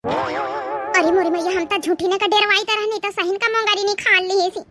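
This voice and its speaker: A woman voicing a stubborn, theatrical village wife in a comedy cartoon, whining insistently in an Awadhi accent with a squeaky pitched-up voice. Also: steady tone, moderate pace, over music. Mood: wailing, dramatic. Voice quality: squeaky, artificially high voice. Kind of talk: exaggerated cartoon comedy dialogue.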